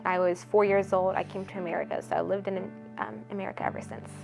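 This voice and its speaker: A woman's voice: mid-range voice, little pitch movement, fast talker, gravelly, over music. An eighteen-year-old woman from Ethiopia, a college student and singer-songwriter, talking earnestly in a casual interview, full of "like" fillers. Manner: earnest, chatty, many "like" fillers.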